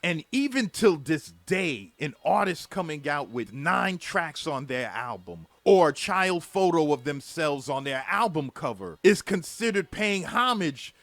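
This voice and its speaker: A man narrating a played music history video in an animated way, laughing often as he goes. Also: a little high, measured pace, hardly any pauses, dry recording. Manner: animated, laughing often, acts out little scenes. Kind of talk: scripted narration with asides.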